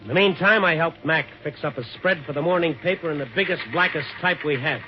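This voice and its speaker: An actor with an American accent playing a weary, wry newspaper reporter in a 1950s radio drama, both narrating and talking in scenes. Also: male voice, on the high side, fast, lightly acted. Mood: grave narration. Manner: wry, hard-boiled. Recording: dull 1950s radio recording with music.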